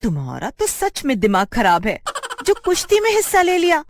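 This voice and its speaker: A woman's voice: high-pitched, huge pitch swings, normal speed, no room sound, clean studio sound, pitched above the performer's own voice, exaggerated voice. A woman voicing a sarcastic, exasperated village wife who scolds her foolish husband in a cartoon moral story. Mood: shocked exasperation.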